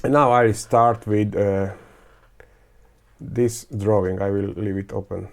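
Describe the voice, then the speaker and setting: Gravelly-voiced man. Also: fairly low pitch, steady tone. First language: Croatian, Serbian and Hungarian. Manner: thinking aloud, halting, many fillers and restarts. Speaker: teacher. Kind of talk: screen-recorded software tutorial.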